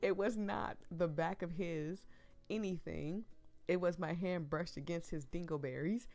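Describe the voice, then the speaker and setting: A woman's voice: on the low side, a little slow, darkish tone, faint music behind. A young woman getting over the flu and losing her voice, reading a visual novel aloud and breaking off for giggly, rambling asides to her viewers. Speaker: streamer playing a visual novel.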